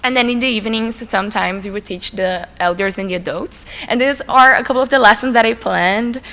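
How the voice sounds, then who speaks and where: A young woman from Brazil, a native Portuguese speaker with a Brazilian Portuguese accent, giving a relaxed, chatty school presentation full of "like" fillers. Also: on the high side, brisk. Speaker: student presenter. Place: school assembly hall. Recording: long reverb in a large hall.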